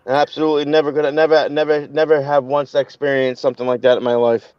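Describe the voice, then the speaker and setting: A man's voice: a little high, little pitch movement, fast, clear, resonant tone, no room sound, lo-fi sound. A man on a live online panel who talks bluntly and with heat, often stumbling and repeating words. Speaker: panel guest. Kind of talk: heated casual live-stream chat.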